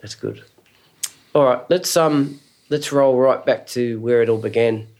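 A man's voice: mid-range voice, measured pace, darkish tone. A male host running a casual interview, who encourages his guest with frequent short acknowledgements.

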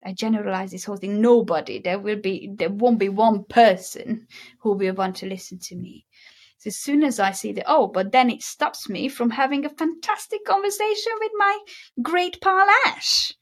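A woman's voice: mid-range voice, moderate pace. A woman from Hungary with a mixed Hungarian accent, speaking as a guest in a casual interview about mindset and stretching words for emphasis. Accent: Hungarian mixed with British and American.

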